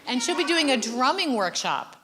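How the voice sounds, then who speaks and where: A woman's voice: high voice, very animated, normal speed, very noisy. A woman giving casual church announcements at a service, with loose asides and laughs.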